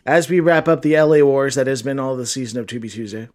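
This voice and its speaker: A man's voice: medium pitch, fairly even intonation, fast, darkish tone. A man co-hosting a casual movie commentary podcast, dropping dry jokey asides. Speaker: podcast co-host.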